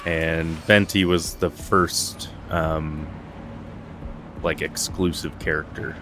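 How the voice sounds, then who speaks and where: A man's voice: extremely low pitch, fairly even intonation, slow pace, background noise. A man giving casual gameplay commentary as the host of a gaming video. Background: game music.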